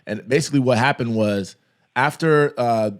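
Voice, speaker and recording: A man's voice: medium pitch, moderate pace. A man hosting a podcast in casual chat, giving advice and walking through contract examples. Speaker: podcast host.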